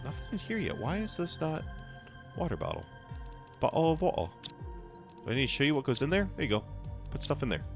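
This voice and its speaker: A young man with a hoarse voice, chatting casually as he plays a game for his channel, rambling with frequent restarts. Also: a little high, sing-song, fairly quick, pauses often, music in the background. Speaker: gaming channel host. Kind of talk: casual gameplay commentary.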